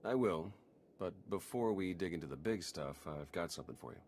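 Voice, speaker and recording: An actor playing a wry, weary intelligence operative in a video game, with a casual, dry, understated delivery. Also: male voice, deep voice, lively intonation, a little fast, darkish tone, a little hiss or hum. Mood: wry, warm. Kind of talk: scripted game character dialogue.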